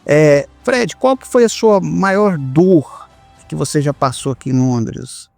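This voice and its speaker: A man's voice: fairly high pitch, sing-song, slow, gravelly, darkish tone, soft background music. A Brazilian man hosting a conversational podcast interview, affirming and echoing what his guest says. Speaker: podcast host.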